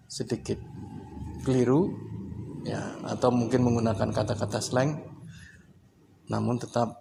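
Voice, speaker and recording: Man, from Indonesia, teacher, gravelly, unhurried short phrases. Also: mid-range voice, a little mellow, slight background noise. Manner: unhurried, talks in short phrases, ends points with "ya". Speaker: English teacher. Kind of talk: casual explanatory lesson.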